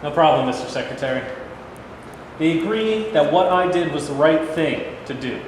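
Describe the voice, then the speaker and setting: A man's voice: on the high side, animated, moderate pace, natural voice. Burdened commanding president played by a man, echoey hall. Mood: brisk, commanding. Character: American president, burdened, seeking reassurance, commanding. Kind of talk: staged reading of a play. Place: reverberant room at a live staged reading. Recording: very echoey noisy hall recording.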